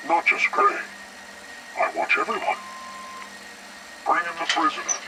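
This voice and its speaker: An actor playing a cold, sadistic male interrogator in a video game, speaking in a measured, mock-polite, menacing way. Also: on the high side, level tone, very noisy, natural voice. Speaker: villain character in a video game. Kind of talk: scripted cutscene dialogue.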